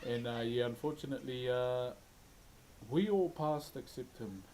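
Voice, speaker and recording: A man's voice: medium pitch, moderate pace, mellow, a little hiss or hum. A man with a New Zealand accent, a wood carver chatting casually with live stream viewers in a laid-back, chuckling, slangy way. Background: background music.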